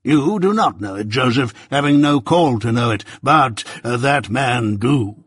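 A man voicing a pompous, self-righteous older merchant who lectures slowly and loudly in a raised, husky voice. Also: mid-range voice, animated, no room sound, studio quality. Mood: lecturing. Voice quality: pompous, preaching, drawn-out emphasis.

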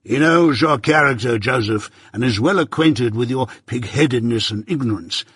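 A man voicing a pompous, self-righteous older merchant who lectures slowly and loudly in a raised, husky voice. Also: medium pitch, dry recording, clean studio sound. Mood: lecturing. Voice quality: pompous, preaching, drawn-out emphasis.

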